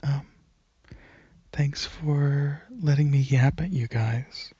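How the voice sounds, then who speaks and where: A man speaking softly and breathily, often near a whisper, in a casual, halting ASMR ramble into a close microphone. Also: mid-range voice, steady tone, breathy edge, dry recording, highs cut off. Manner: hesitant, halting, informal. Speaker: ASMR channel creator.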